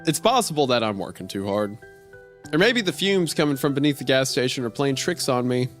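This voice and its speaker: Deadpan night-shift clerk, read by a man, dry first-person horror storytelling, medium pitch, music underneath. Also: fairly quick, close to an everyday voice. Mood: dry, uneasy. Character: deadpan gas station night clerk narrating strange events. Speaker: podcast host reading a horror story aloud. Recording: music behind the voice.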